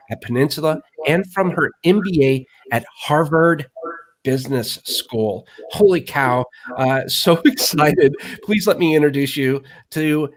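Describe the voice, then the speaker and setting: A man hosting an interview, excitable and rambling, often restarting his sentences. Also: a little high, poor audio. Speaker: podcast host.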